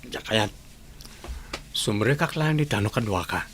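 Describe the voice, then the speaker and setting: A man's voice: fairly low pitch, animated, a little slow, fairly bright tone, slight background noise. A man with an Ilocano Filipino accent playing a concerned, persistent young man in a radio drama. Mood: concerned, insistent.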